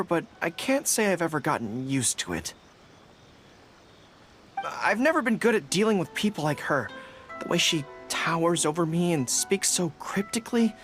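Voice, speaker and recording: Brief: woman playing a boyish canine general for a game, earnest and easily flustered, very animated delivery, music underneath. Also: medium pitch, close to an everyday voice. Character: earnest young dog-eared male general, polite, easily flustered. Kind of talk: English video game voice acting.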